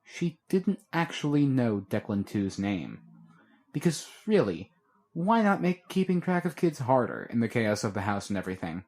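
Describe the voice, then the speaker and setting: A man reading a fan-made audiobook chapter in a steady storytelling way. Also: medium pitch, moderate pace. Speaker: audiobook narrator.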